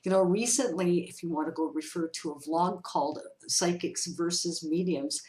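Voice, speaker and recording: A woman hosting a casual question-and-answer vlog as a medium, speaking directly to her viewers in a chatty, expressive way. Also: fairly low pitch, moderate pace. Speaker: vlog host, psychic medium.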